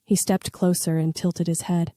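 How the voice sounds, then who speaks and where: A woman's voice: fairly low pitch, little pitch movement, moderate pace, mellow, no room sound, studio quality. A woman reading a scripted story aloud as its narrator.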